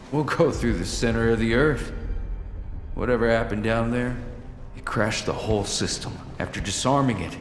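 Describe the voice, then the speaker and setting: An actor playing a tough, wary, pragmatic armed operative in a video game, speaking in a measured, hard-edged and persuasive way. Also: male voice, mid-range voice, close to an everyday voice. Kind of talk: video game cutscene acting. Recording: echoey hall with long reverb, music behind.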